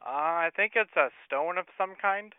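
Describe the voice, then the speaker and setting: A man's voice: high-pitched, normal speed, clear, resonant tone, no echo, close to an everyday voice. Man playing a stammering ancient mummy pharaoh. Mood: deadpan. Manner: hesitant, stammering, deadpan. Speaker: prank caller. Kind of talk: prank phone call. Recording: tinny phone line.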